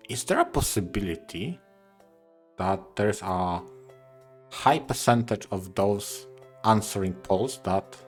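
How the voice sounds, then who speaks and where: A man co-hosting a podcast, putting questions to a guest in formal, carefully worded conversational speech. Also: fairly low pitch. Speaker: podcast co-host. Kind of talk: conversational interviewing. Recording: music mixed under the voice. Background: music.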